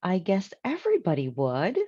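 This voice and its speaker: A woman's voice: fairly low pitch, wildly swooping pitch, normal speed, dark tone, breathy edge, dry recording, dull audio, full character voice. A woman voicing a cheerful, naive, literal-minded housekeeper from a children's storybook, earnest and exclamatory. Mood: musing, upbeat.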